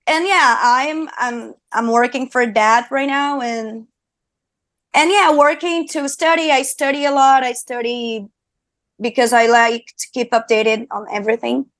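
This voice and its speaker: A woman's voice: on the high side, a little slow, a bit bright, no echo. A young woman from Brazil with a Brazilian Portuguese accent, a singer and streamer chatting as a guest in an interview, upbeat and full of fillers and restarts. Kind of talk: casual interview chat.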